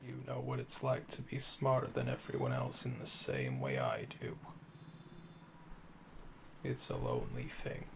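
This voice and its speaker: A man voicing a calm, smug, flirtatious assassin in a slow, intimate ASMR roleplay, deliberate and condescending, often dropping to a murmur. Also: medium pitch, vocal fry, background noise, exaggerated voice. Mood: brooding, intimate.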